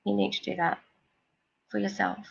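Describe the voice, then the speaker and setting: A woman with a cold and a snuffly nose, giving psychic card readings on a live stream in a slow, rambling, reassuring way. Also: mid-range voice, level tone, vocal fry, muffled recording, slight background noise.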